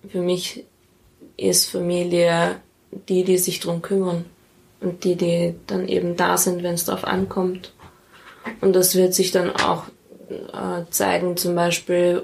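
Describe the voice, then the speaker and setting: Creaky, even-toned woman, lower voice. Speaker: interviewee, parent in a polyamorous family. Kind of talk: reflective conversational interview.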